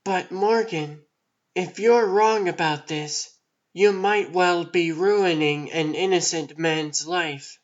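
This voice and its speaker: A man's voice: sits high, slow, dull audio. A man voicing an assertive, indignant female news reporter in a comic dub, insistent and confrontational. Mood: concerned.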